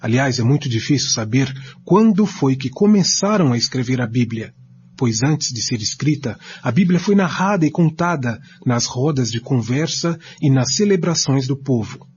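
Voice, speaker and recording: A man reads scripted commentary as a co-presenter. His mid-pitched voice moves up and down a lot, and the recording is dulled and lo-fi. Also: expressive, normal speed, darkish tone. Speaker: co-presenter of a religious study programme. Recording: muffled lo-fi recording with noise.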